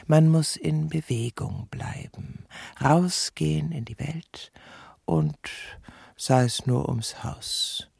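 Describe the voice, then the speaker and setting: A middle-aged woman consumed by love, bitter and sardonic, in a crime radio play. She speaks slowly and intimately in a soft, dark tone with a creaky edge, often sinking into a whisper. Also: very deep voice, heavily acted. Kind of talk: radio crime drama monologue and dialogue.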